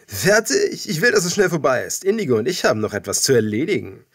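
A man's voice: a little high, very animated, quick pace, a bit bright, breathy edge, pitched above the performer's own voice, exaggerated voice. A man voicing a cocky, lewd young gang leader in an audiobook, with a taunting, theatrical manner. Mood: mocking.

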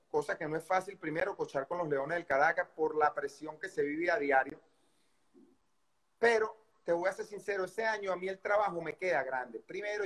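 A middle-aged man with a Venezuelan Spanish accent, a baseball coach as guest, recounting his career in a relaxed, rambling way over a video call. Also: sits high. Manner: relaxed storytelling with many fillers and restarts. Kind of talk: casual interview storytelling. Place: indoor room by a window. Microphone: video call webcam.

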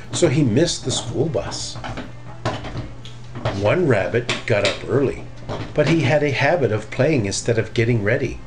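A middle-aged man reading a children's story aloud in an expressive, deliberate way. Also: mid-range voice, low-quality recording. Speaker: storybook reader.

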